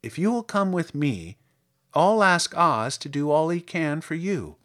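A man voicing a polite, kind-hearted little girl in a storybook, speaking gently and plainly. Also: fairly high pitch, sing-song, unhurried.

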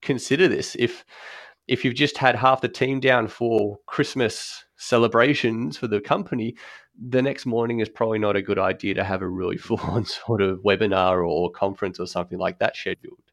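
A man talks through accessible design at an easy podcast pace, pausing on ums and restarting his thoughts. His voice sits fairly low, and the recording is dry and close with little room sound. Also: steady tone, fairly bright tone, no room sound. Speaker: guest expert on accessible design. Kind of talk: conversational podcast explanation.

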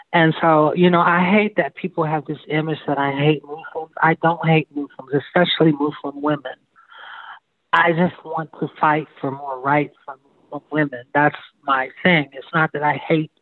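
A woman's voice: low voice, no echo. A woman from Sudan, speaking bluntly and at length as a guest in a candid personal interview. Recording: tinny dry phone line.

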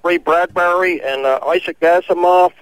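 A man with an American accent calling in to a radio show, halting but insistent in his casual remarks. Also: high-pitched, fairly even intonation, low-quality recording. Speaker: radio call-in listener.

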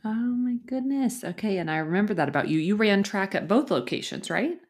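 A woman's voice: medium pitch, expressive, brisk, husky. A young adult woman hosting a podcast interview, reading an introduction and reacting with upbeat, effusive enthusiasm. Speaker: podcast host.

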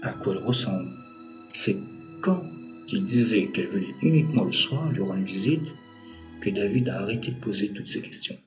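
A man with a fairly low voice narrates a scripted audio story. He tells it in measured short phrases, with music playing underneath. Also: lively intonation.

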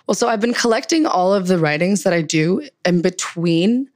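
A young woman chats freely as an interview guest, her speech full of easy fillers. Her voice sits a little low for a woman. The sound is close and dry, with no room around it. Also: lively intonation, moderate pace, a little mellow, dry recording. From Canada. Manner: chatty, many fillers like "like" and "um". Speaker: guest, writer. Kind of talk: casual interview conversation.

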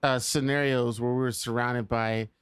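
Smooth-voiced man in wry chat. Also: mid-range voice, steady tone, normal speed, no room sound, studio quality. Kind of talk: casual banter.

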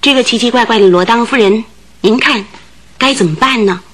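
A woman's voice: on the high side, fairly quick, a bit bright. An actress in a radio drama playing a nervous, talkative landlady who tells things in earnest detail. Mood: puzzled.

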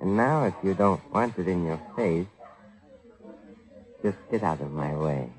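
An actor playing a surly, wary, scheming crook in an old radio crime drama, with a gruff, clipped manner. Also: male voice, sits low, animated, unhurried, soft background music, rough recording. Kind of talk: 1940s-50s radio crime drama acting. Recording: vintage radio drama recording.

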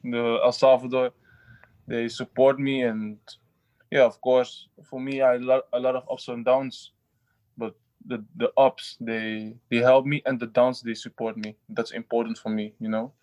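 Guy footballer, halting answers, monotone, dry close sound. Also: mid-range voice, no room sound, low-quality recording. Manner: halting, restarts often, simple phrasing. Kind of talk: interview answers.

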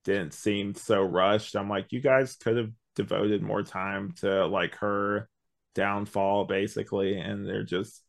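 A man's voice: lowish voice, steady tone, slow pace, creaky. A man co-hosting a podcast, chatting casually in a rambling, opinionated way and often quoting his own thoughts. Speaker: podcast co-host reviewing an audio drama. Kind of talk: casual chat.

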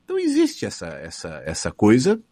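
A man's voice: on the low side, wildly swooping pitch, a little fast, mellow. A middle-aged radio announcer with a São Paulo accent, thoughtfully answering interview questions and often restarting his phrases. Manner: thoughtful, restarts phrases, rises and falls expressively.

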